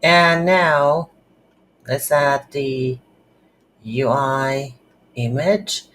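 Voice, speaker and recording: A woman hosting a casual game development tutorial, speaking haltingly as she thinks aloud while working. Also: rumbling low, steady tone, a bit bright. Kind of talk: casual screen-recorded software tutorial.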